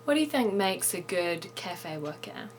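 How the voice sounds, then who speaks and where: A woman host reading a short scripted news item in a clear, measured way, then asking interview questions. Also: lowish voice, sing-song, a little hiss or hum.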